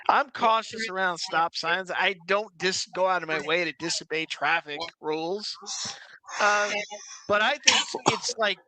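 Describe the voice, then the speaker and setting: A man's voice: high voice, measured pace, noisy background. A man chatting casually on a livestream in a slangy, insistent, opinionated way. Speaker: livestream talker. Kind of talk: casual chat.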